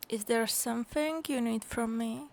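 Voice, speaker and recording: A woman voicing a calm, wry young adventurer in a video game, with an understated, dryly teasing manner. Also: on the high side, moderate pace, gravelly, slight background noise. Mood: composed.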